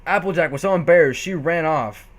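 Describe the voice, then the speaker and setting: Guy with highish voice doing a comedy story reading, amused, trips over words and restarts. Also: a little fast. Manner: stumbling, self-correcting, amused. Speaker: reader. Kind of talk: comic dramatic reading of fan fiction.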